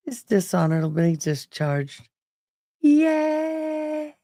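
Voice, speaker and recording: Worn-out, gravelly woman livestream host. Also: lowish voice, mellow, no echo, clean studio sound. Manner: affectionate, calls viewers babe and love. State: worn out, voice struggling. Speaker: livestream host covering a trial. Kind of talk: casual livestream chat.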